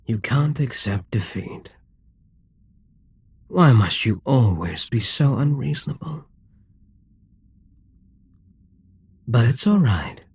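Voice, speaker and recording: A man playing a dominant, gloating Demon King in an intimate ASMR roleplay, smug and coaxing, with a breathy, husky voice that often drops toward a whisper. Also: lowish voice, darkish tone, no room sound, heavily acted. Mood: patient, menacing. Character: dominant, gloating male Demon King toying with a captive hero.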